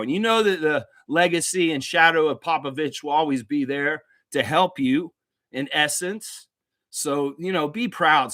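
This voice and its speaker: A man with a slightly high voice hosts a relaxed fan livestream. His pitch moves around in a lively way as he talks informally and effusively, often repeating a phrase to drive it home. The sound is dry, with very little room on it. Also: no room sound. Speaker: livestream host, sports fan commentator. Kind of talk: casual livestream chat, sports fan talk.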